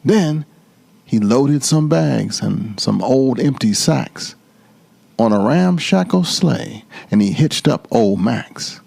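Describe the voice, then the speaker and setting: Slow, animated male storyteller with creaky voice. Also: medium pitch. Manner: theatrical, drawn-out emphasis and repetition. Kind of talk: dramatic children's storybook reading.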